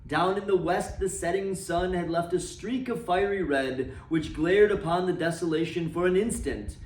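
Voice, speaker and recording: A man reading a classic novel aloud for a library, dramatic and expressive, letting his voice sink low and soft at the ends of phrases. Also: moderate pace, echoey room, recorded from a distance, rough recording.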